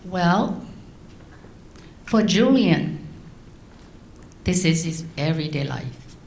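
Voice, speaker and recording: Middle-aged woman, low-pitched, very slow prepared speech, echoey hall, faint noise. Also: lively intonation, vocal fry, darkish tone. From Laos, raised in France. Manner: slow, deliberate delivery with long pauses. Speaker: guest speaker.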